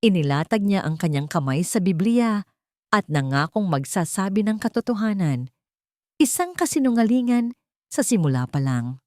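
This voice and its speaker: A woman's voice: mid-range voice, very animated, moderate pace, a little mellow, dry recording, studio quality. A woman reading a scripted first-person story in a dramatic, solemn manner. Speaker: narrator.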